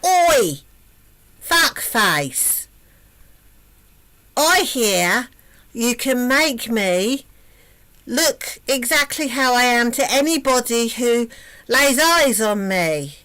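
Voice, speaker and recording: A woman voicing a brash, foul-mouthed young princess who is secretly a troll, shouting and swearing. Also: medium pitch. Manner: loud, crude, boastful, swearing.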